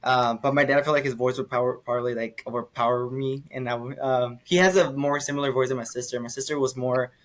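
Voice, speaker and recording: A young man talking loosely about his singing and his family's band. He speaks at an unremarkable middle pitch with plenty of "um" and "like" as he wanders through his thoughts, in a casual podcast conversation. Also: fast, hardly any pauses. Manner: relaxed, rambling with many fillers. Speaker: singer and podcast guest. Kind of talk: casual interview chat.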